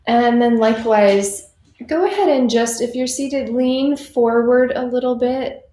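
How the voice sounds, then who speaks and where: A woman leading a guided meditation in an unhurried, conversational and reassuring manner, as a teacher would. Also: on the high side, mellow. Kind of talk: guided meditation, gentle unscripted instruction. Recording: roomy, echoing sound.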